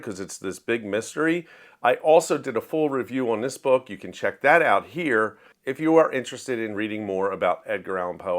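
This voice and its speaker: A man presents books in a casual, conversational style, as a host talking to viewers. His delivery is plainspoken and opinionated, with a mid-range voice. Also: mid-range voice. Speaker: host of a book review show. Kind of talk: casual scripted-outline book review to camera.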